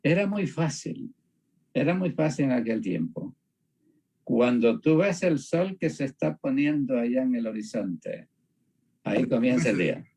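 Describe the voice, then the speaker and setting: A man from Panama, explaining reflectively in conversation, haltingly and repeating phrases for emphasis. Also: medium pitch, sing-song, vocal fry, darkish tone, husky. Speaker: discussion partner on a religious show.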